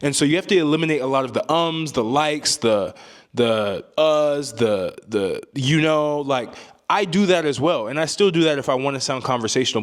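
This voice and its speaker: Brief: young man, deep calm voice, mid-pitched, emphatic self-help talk, tags "right?" often. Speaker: self-improvement YouTuber. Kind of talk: casual advice monologue to camera.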